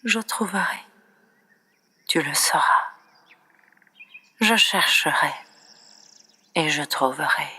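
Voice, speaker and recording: Woman reading poems super slow, breathy almost whispery, bright intense voice, solemn. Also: low voice, lively intonation. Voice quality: breathy, often near whisper. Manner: solemn, dramatic, deliberate phrasing. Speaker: poet reciting own poems. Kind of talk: slow expressive poetry recitation.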